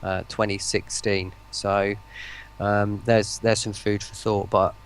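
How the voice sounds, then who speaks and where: A man's voice: on the low side, level tone, slow, slight background noise. A man speaking as a guest in a live stream interview, explaining things in a careful, hedging way. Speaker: guest, voice pack maker.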